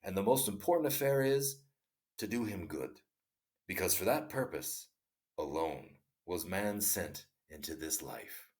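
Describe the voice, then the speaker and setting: A man's voice: sits low, lively intonation, darkish tone. A man voicing an old male hermit who patiently explains a moral lesson in a read-aloud story. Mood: instructive. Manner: patient, instructive, measured storybook reading.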